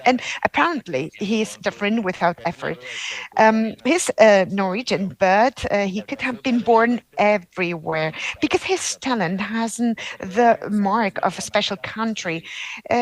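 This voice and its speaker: A woman's voice: mid-range voice, low-quality recording. Non-native English-speaking woman, ceremony speaker, hesitant delivery full of ums and restarts. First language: not English. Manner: halting, many fillers and restarts. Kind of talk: formal speech with hesitations. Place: ceremony hall.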